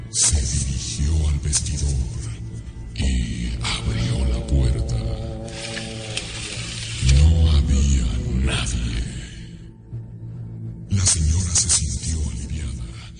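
A man's voice: very deep voice, creaky, exaggerated voice. A man with a Mexican accent narrating a horror radio drama in a dramatic, suspenseful way. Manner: slow, dramatic, suspenseful delivery. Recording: music loud behind the voice. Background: loud horror drama music.

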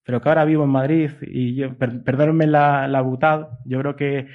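A man's voice: mid-range voice, little pitch movement, normal speed. A middle-aged man from Spain with a Castilian Spanish accent, giving a semi-improvised academic talk in a discursive, hesitant way, often adding checking tags. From Spain (Basque Country and Galicia). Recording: dull lo-fi sound.

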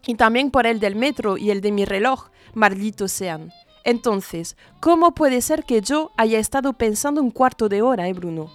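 A woman's voice: a little high, a little mellow, no room sound, lightly acted. A woman reading a restless jazz man musing on time. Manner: intense, musing, questioning. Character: restless adult male jazz musician obsessed with time. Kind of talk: literary reading.

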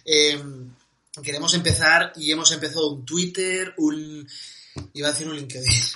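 Bright-voiced chatty Spanish-speaking man. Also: a little high, fairly even intonation, moderate pace, a little hiss or hum. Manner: chatty, self-correcting, fond of "¿vale?". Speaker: podcast co-host. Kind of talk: casual podcast chat.

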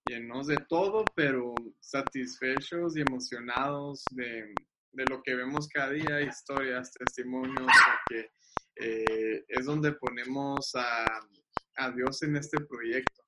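A man, chatting as a guest on a video call, enthusiastic and quick to laugh, often repeating his words. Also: medium pitch, steady tone. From Mexico. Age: 20s. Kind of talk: casual video-call chat. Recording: loud background hiss on a video call.

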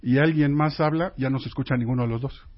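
A man's voice: mid-range voice, fast talker, highs cut off. A man, an air traffic controller, patiently explaining his work step by step as a radio guest. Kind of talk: explaining in an interview.

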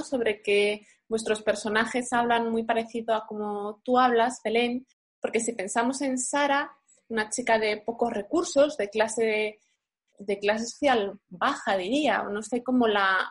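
A woman's voice: on the high side, measured pace. A woman hosting a film programme, narrating and putting questions to guests. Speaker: programme host and interviewer. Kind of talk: scripted narration and interview questions.

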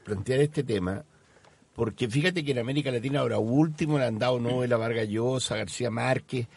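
A Chilean man with a gravelly, rough-edged voice in an average pitch range co-hosts a literary radio show. He puts questions and remarks in a conversational style. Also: brisk. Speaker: radio co-host.

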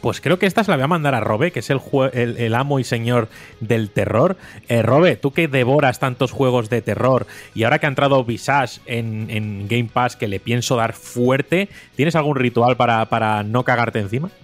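A man's voice: medium pitch, moderate pace, soft background music. A man hosting a casual gaming podcast, joking with his co-hosts and laughing often. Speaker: podcast host. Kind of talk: casual chat.

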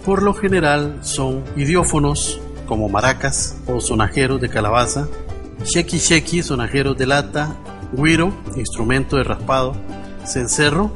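A man presenting on the radio, reading informative scripted narration in a measured, formal way. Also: medium pitch, animated. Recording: music under the voice. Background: background music.

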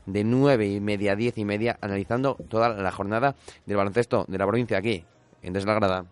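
A man from hosting a local sports radio show, signing off in a casual way with filler sounds and quick self-corrections. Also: lowish voice, fairly even intonation, dry recording. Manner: brisk, fills gaps with "eh" and self-corrections. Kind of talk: casual radio sign-off chat.

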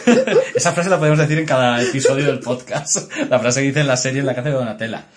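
A man's voice: mid-range voice, quick pace. Casting: young man from Extremadura, podcast co-host, chatty, laughs a lot, plain middle voice. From Extremadura, Spain. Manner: chatty, laughs often, leads the questions. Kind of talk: casual film chat.

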